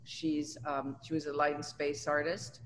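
A woman's voice: low voice, level tone, a little slow. Older woman in a reverberant room, reminiscing. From Tunisia. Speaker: artist being interviewed. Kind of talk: conversational personal recollection. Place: indoors in an art studio. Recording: reverberant room, faint background noise.

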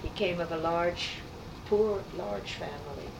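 A woman in her eighties, raised speaking French, telling her life story in an unhurried, reminiscing way for an oral history interview. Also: on the low side, gravelly. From New York City. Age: 80s. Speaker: artist interviewee. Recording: roomy, distant, noisy tape.

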